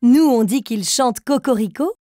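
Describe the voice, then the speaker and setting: A woman's voice: fairly high pitch, measured pace, noisy background, close to an everyday voice. A woman playing a kind, teacherly grown-up who encourages small children through riddles in a cartoon. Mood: encouraging.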